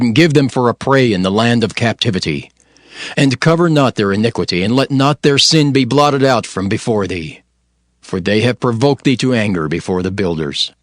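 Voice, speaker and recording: A man narrating scripture for an audio drama in a solemn, formal and measured way. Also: mid-range voice, no room sound, studio quality. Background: music.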